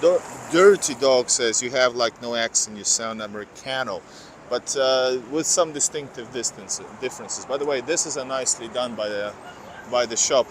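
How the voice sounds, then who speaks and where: A man's voice: medium pitch, moderate pace. A man from Finland with a slight Finnish accent, livestreaming a walking tour and rambling to viewers in chat. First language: Finnish or Russian. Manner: rambling, self-correcting, reads out viewer comments. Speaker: vlogger. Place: outdoor city streets. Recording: noisy outdoor street with traffic.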